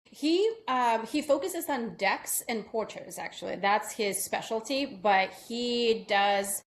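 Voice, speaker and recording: A woman's voice: on the high side, slow pace, a little hiss or hum. A middle-aged woman from Russia with a Russian accent, a real estate agent chatting through an interview, telling stories with lots of fillers. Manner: chatty, storytelling with many fillers. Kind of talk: casual interview chat.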